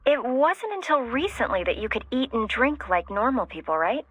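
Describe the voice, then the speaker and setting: An actress playing a curious, playful colleague in a video game, casual and teasing. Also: female voice, a little high, big pitch swings, normal speed, a bit bright, slightly rough, no room sound, exaggerated voice. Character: curious, playful female colleague of a cyborg soldier.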